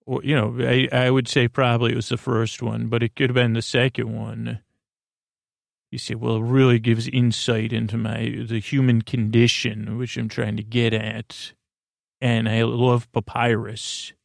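A man hosting a bedtime podcast in a rambling, halting monologue that keeps digressing and trailing off, with a creaky voice. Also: mid-range voice, little pitch movement, dry recording, studio quality. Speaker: podcast host.

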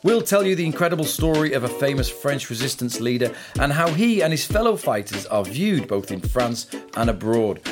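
A man's voice: medium pitch, sing-song, moderate pace, hardly any pauses. Male podcast host over music. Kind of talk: scripted introduction and news discussion.

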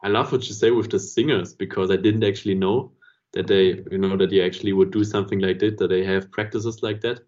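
A man's voice: on the low side, fairly even intonation, a little fast, darkish tone. A man in a casual podcast conversation, talking in a reflective, rambling way and often saying "you know". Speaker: podcast host. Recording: dull lo-fi podcast audio.

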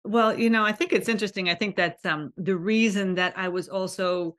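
A woman's voice: medium pitch, fairly even intonation, fairly quick, a bit bright. A woman hosting a podcast interview, chatty and enthusiastic, laughing readily. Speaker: podcast host. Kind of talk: conversational interview.

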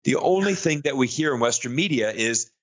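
A man's voice: medium pitch, animated, brisk. An American man being interviewed, talking enthusiastically with frequent restarts and fillers. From United States. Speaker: guest analyst. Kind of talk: conversational interview. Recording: muffled lo-fi audio.

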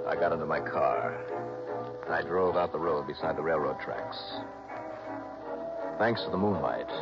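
Cool private eye, extremely deep, terse, scripted narration, muffled vintage radio recording. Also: male voice. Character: cool, hard-boiled male investigator narrating his case. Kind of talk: scripted first-person radio drama narration. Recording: dull old radio recording, music behind.

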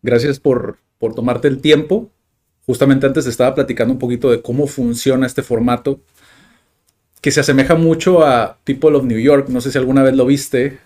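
A man's voice: medium pitch, fairly even intonation, normal speed, mellow. A young man hosting a podcast, chatting casually and loosely, with frequent fillers and restarts. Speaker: podcast host. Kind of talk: casual conversational interview.

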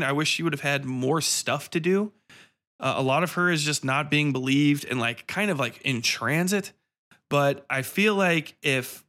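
Male podcast reviewer, mid-pitched with a bright, forceful edge; blunt, sweary, sardonic, casual solo delivery. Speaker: podcast host and film reviewer. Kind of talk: casual film review monologue.

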